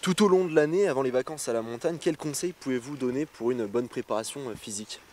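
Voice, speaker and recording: Brisk, fast-talking interviewer on a ski slope. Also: medium pitch, darkish tone, a little hiss or hum. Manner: brisk, asks questions in long run-on phrasing. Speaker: show host and interviewer. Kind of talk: interview questions read from notes. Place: outdoors on a snowy ski slope. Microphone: handheld mic.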